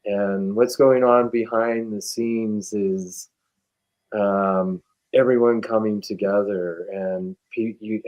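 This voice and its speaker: A man with a lowish voice on a casual video call. He speaks in an easygoing, affirming way while reflecting on organizing an event. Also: darkish tone, poor audio. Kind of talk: casual group chat on a livestream.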